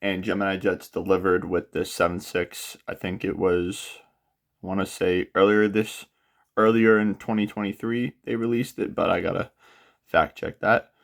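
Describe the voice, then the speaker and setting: A young man walks through a model unboxing in an easygoing, unscripted way, pausing often on fillers. His voice sits very low with a rough, gravelly edge. A cold leaves him slightly stuffy and nasal. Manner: relaxed, many filler pauses. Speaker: aviation model channel host. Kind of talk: casual product unboxing walkthrough.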